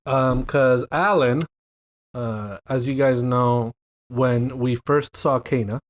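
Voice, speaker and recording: A man's voice: mid-range voice, slow. A man from Miami with a Miami accent, hosting a casual podcast chat in a rambling, jokey way. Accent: Miami English. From Miami, USA. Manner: rambling and jokey, repeats "you know what I mean". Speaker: podcast host.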